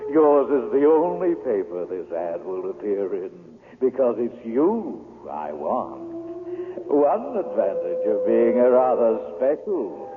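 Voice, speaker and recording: An actor playing a sly, self-assured devil in a radio drama, speaking in an insinuating, deliberate way. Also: male voice, fairly low pitch, very animated. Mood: sly, gloating. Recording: muffled, noisy old radio broadcast.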